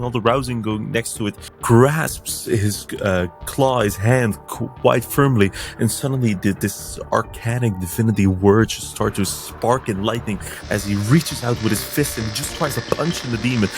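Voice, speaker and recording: A man playing a tabletop game, describing his wizard's actions in halting, stop-start speech. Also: medium pitch. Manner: halting, restarts often while describing actions. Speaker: player.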